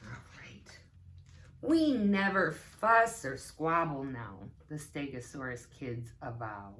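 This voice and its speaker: A woman's voice: sits low, lively intonation, slow pace, a bit bright. A woman teaching assistant reading a children's storybook aloud and explaining it in simple, instructive phrases.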